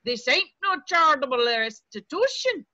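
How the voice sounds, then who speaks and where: A woman's voice: high voice, big pitch swings, normal speed, bright, forceful tone, full character voice. A woman playing a crotchety middle-aged landlady in a stage play table read, scolding in folksy old-fashioned dialect. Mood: stern, scolding. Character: crotchety, menacing, coy middle-aged Irish-American landlady. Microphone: video call. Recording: dull video-call audio.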